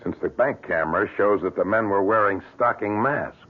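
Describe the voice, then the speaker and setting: Man playing low-voiced, curt radio-drama police inspector. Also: normal speed, lightly acted. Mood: skeptical. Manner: clipped, dryly doubting. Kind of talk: 1970s radio drama acting. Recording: muffled, very noisy old radio recording.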